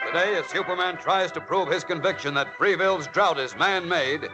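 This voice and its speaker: Theatrical, high-pitched male radio serial announcer. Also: normal speed. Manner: suspenseful, emphatic, theatrical. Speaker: announcer and narrator. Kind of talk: dramatic 1940s radio serial narration. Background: loud organ music.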